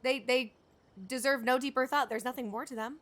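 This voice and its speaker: A woman's voice: sits high, steady tone, fairly quick, fairly bright tone, a little hiss or hum. A woman live-streaming a game, switching between casual commentary and reading text aloud. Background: game music.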